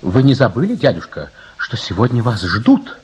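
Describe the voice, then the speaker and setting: A man's voice: mid-range voice, sing-song, normal speed, breathy edge. An actor playing a polite young nephew, courteously and patiently coaxing his elder in radio drama style. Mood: politely earnest. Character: polite, gently prodding young nephew, engaged to marry. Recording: dull archive radio recording.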